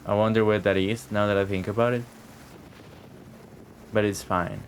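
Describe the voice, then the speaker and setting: A man's voice: on the low side, steady tone, normal speed, slight background noise. A man giving casual live game commentary, reacting in an informal, slangy, sweary way. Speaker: game streamer. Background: game music.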